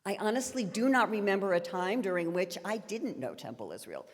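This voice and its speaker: A woman's voice: medium pitch, big pitch swings. Earnest woman speaking in a reverberant sanctuary. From Miami, Florida. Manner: earnest, emphatic, with heartfelt pauses. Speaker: congregational leadership chair giving a speech. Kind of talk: prepared speech from a stage. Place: large sanctuary with long reverb.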